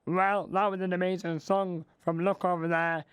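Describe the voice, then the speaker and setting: A man playing a bumbling older male local radio DJ, excitable and flustered, tripping over his words while losing his voice. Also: high-pitched, normal speed, loud music behind the voice, close to an everyday voice. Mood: flustered cheery. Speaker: radio host. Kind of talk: comedic spoof radio show.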